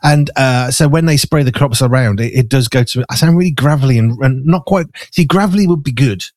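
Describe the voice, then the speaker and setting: A man with a raspy, gravelly, hoarse voice, an animated radio host chatting effusively with many restarts. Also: mid-range voice, brisk, no room sound, clean studio sound. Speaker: radio host and magazine editor. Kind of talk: casual conversation. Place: farmhouse.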